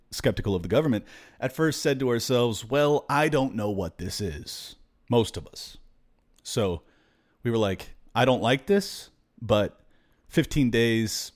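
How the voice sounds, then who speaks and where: Slightly raspy man ranting freewheel. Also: medium pitch, a little mellow. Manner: rambling, freewheeling rant with fillers. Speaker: podcast host. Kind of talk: casual political monologue.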